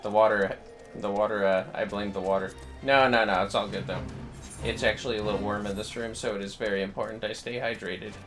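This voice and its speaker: A man with a fairly low voice chats through a gaming livestream. He rambles in an easygoing way, partly to himself and partly to viewers, with game music clearly playing behind him. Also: steady tone, fairly quick, fairly bright tone. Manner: rambling, easygoing, half talking to self. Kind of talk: casual gaming livestream chat, muttering to self and viewers. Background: video game music.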